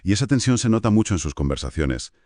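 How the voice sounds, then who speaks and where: A man with a low voice speaks Castilian Spanish in a conversational literary discussion. He sounds like a co-host, recorded dry and close with no room sound. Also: sing-song, fast, dark tone, no echo.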